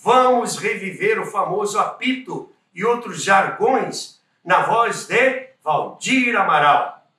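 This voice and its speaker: A Brazilian man with a high-set, bright voice reads scripted documentary narration at an unhurried pace. He tells it like a storyteller, with emphasis, in a roomy space away from the microphone. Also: expressive. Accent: Brazilian Portuguese. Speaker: podcast host and narrator of radio history. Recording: roomy echo, distant from microphone.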